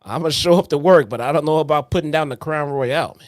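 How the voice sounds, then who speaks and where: Deadpan teasing man on a podcast. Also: a little high, fast talker, dry recording, clean studio sound. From Chicago. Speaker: podcast co-host. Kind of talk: casual chat.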